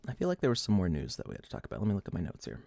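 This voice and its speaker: A man in his thirties hosts a casual livestream from his desk, chatting loosely and laughing as he reads the chat. His voice sits low, and he is a bit sniffly. The sound is close and dry, with no room around it. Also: wildly swooping pitch, racing, gravelly, warm tone, dry recording. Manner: rambling, laughs often, restarts, reads chat. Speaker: livestream host.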